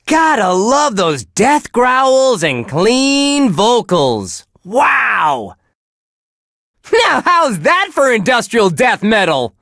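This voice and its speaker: A relentlessly cheerful death metal DJ for a video game radio station. He gushes in a bright, wholesome voice with lively swings of pitch, unhurried and recorded dry and close. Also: gravelly, no room sound, heavily acted. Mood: gushing, upbeat. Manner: gushing, sunny, wholesome delivery. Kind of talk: upbeat radio host patter.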